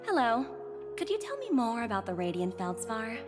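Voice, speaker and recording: A woman's voice: fairly high pitch, very animated, moderate pace, music in the background. A woman voicing a poised, playful young corporate director in a video game, teasing and coolly confident in a polished way. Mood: polite, amused.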